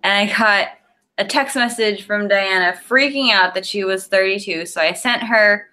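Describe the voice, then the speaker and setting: A woman in her early thirties, co-hosting a podcast from home over a video call, chatty and relaxed as she tells stories. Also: mid-range voice, normal speed. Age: 30s. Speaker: podcast co-host. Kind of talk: casual chat. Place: home room with curtains. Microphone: video call webcam.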